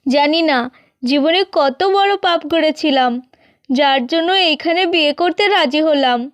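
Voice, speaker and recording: A woman's voice: sits high, a little slow, no echo. A woman voicing a bitter, demanding young wife in a cartoon, indignant and reproachful. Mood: indignant, regretful. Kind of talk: scripted cartoon dubbing.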